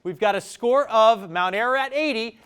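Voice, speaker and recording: This is a man hosting a quiz show in a TV studio, speaking with a Maine accent. His voice sits a little high, and he moves through questions at a brisk, upbeat clip, tossing off quick reactions to each answer. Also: fairly bright tone, smooth. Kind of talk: reading quiz questions on air. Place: television studio.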